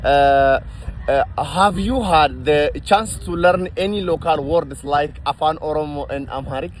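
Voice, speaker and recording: A man hosting an interview, giving a formal welcome and then asking halting questions with many fillers. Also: a little high, slight background noise. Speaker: interview host.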